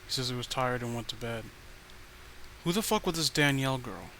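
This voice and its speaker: A man's voice: mid-range voice, fairly quick, pushed and bright, low-quality recording. A man narrating a horror story in a casual manner, stumbling over the odd word. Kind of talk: dramatic horror story reading. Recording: faint background noise.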